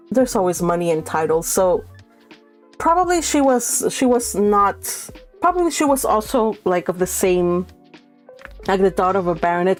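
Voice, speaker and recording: A woman's voice: lowish voice, a little mellow. Chatty woman hosting a read-along over music. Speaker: host of a book read-along. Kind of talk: casual live-stream chat and reading aloud of a classic novel.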